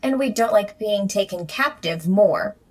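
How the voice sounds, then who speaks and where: A woman's voice: medium pitch, lively intonation, a little slow, darkish tone. An actress playing a flippant young female superhero who answers sarcastically. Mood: sarcastic.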